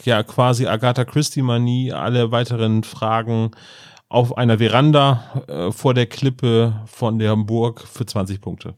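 A man's voice: medium pitch, steady tone, a little slow, dry recording, studio quality. A man co-hosting a podcast in casual chat, playful and often chuckling. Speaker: podcast co-host. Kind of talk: casual chat reviewing an audio drama.

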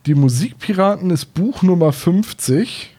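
A man's voice: high voice, animated, a little slow, mellow, breathy edge. A man in his thirties chatting casually as a podcast co-host, explaining things in careful detail. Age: 30s. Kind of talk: casual discussion of audio dramas and books.

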